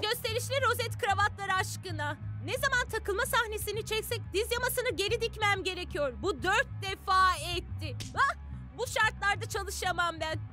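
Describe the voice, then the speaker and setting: A woman's voice: extremely high pitch, expressive, normal speed, music in the background. A woman voicing a dramatic, bossy, fashion-obsessed young girl in a cartoon, given to theatrical exclamations. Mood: huffy. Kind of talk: cartoon dubbing.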